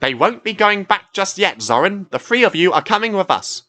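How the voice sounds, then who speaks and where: A man's voice: high voice, very animated, normal speed, pushed and bright, pitched above the performer's own voice, heavily acted. A man voicing a stern male coast guard boat who lectures like an officer of the law.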